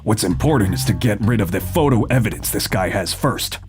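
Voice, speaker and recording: An aggressive, bullying gang thug played by a man in anime dub style. He is bossy and menacing, his pitch leaping around, with faint music underneath. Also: expressive, fairly quick, darkish tone, lightly acted. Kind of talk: anime-style manga dub villain acting.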